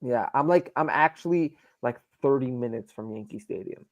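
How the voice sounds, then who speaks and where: A man's voice: medium pitch, expressive, moderate pace, a little mellow, no echo, muffled recording. A man from New York arguing hockey opinions emphatically in a casual live stream, often repeating himself for emphasis. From New York, United States. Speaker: sports fan show panelist. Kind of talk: casual live sports debate.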